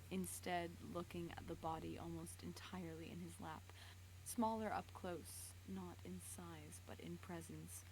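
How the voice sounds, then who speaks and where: A woman with a lowish voice reads fan fiction on a stream. Her narration is dramatic, broken by stumbles and casual asides, with clear noise in the background. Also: a little mellow, poor audio. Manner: stumbles over words and rereads. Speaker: live stream reader.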